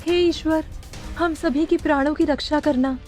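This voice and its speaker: Woman acting a very high, fretful little companion. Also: steady tone, normal speed, mellow, faint music behind, lightly acted. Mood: pleading. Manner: earnest, fretful.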